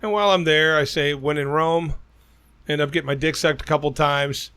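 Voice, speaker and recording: A loud man with a Midwestern Cincinnati accent, spinning crude stories on a podcast. His voice sits a bit high, and he states his opinions flatly. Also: fairly quick, clear, resonant tone. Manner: loud, storytelling, blunt opinions. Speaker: podcast co-host. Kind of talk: casual crude podcast banter.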